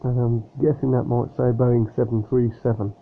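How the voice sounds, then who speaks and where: A man chatting casually while unboxing a model aircraft, speaking softly with lots of fillers and sounding slightly nasal from a cold. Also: medium pitch, flat delivery, normal speed. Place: room at home with a fan running. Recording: tinny phone-like sound with faint fan hum.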